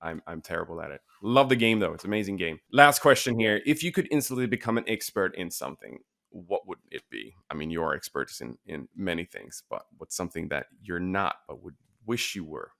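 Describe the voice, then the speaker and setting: A man from Sweden hosting a casual interview podcast, talking in a relaxed, thoughtful way with many fillers. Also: deep voice, fairly quick, creaky, hardly any pauses. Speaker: podcast host.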